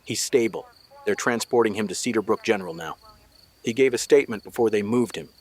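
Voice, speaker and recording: A tired, guarded male small-town cop played by an actor in a noir drama. His voice is on the low side and he speaks in clipped, official phrases with understated delivery. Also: a little fast, darkish tone, natural voice. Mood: businesslike. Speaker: police officer. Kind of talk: scripted noir audio drama dialogue.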